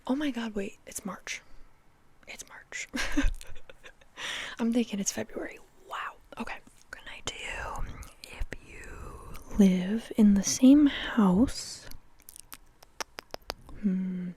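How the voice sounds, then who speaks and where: A young woman whispers close to the microphone in a relaxed ASMR style. Her talk is playful and chatty, and she often repeats words in little runs. Her pitch sits in the middle range for a woman. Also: slow pace, pauses often. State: dry mouth. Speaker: ASMR host.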